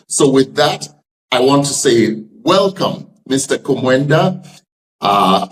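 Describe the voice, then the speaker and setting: A man's voice: medium pitch, rough recording. A middle-aged man hosting a podcast, speaking in articulate, emphatic and formal phrases as he introduces and interviews. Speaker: podcast host, leadership scholar.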